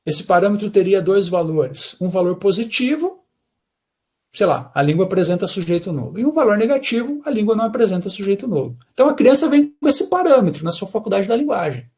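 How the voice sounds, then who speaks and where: A man's voice: high-pitched, big pitch swings, a little fast, dark tone. A man with a Brazilian Portuguese accent giving an academic talk over a video call, explaining step by step and checking in with listeners often. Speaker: lecturer.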